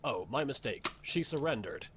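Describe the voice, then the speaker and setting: Man doing an animated, showy TV sportscaster. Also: medium pitch, moderate pace. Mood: mock serious. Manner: showy announcer delivery. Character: excitable male TV sportscaster for card-game duels. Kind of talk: parody sports broadcast commentary. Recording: background music under voice.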